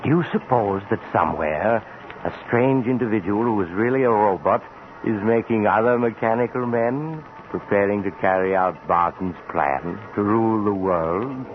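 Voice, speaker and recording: A man's voice: on the low side, normal speed, lightly acted. A man acting as a genially sinister traveler who hosts eerie tales in 1940s radio style, conversational and insinuating. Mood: slyly eerie. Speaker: story host. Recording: noisy, tinny 1940s radio recording.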